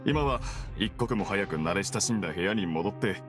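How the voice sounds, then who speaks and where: A weary adult prisoner played by a man talks in a lowish, lively voice. He is apologetic and placating, a little evasive, in anime game dialogue with soft music behind. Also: normal speed, natural voice. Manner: casual, placating, hesitant.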